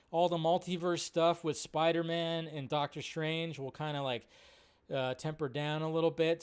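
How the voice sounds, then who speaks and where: A male livestream host with a smooth, clear voice pitched a little on the high side. He chats casually and rambles off the cuff, often repeating a phrase as he goes. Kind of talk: casual live chat, reading and answering viewer questions.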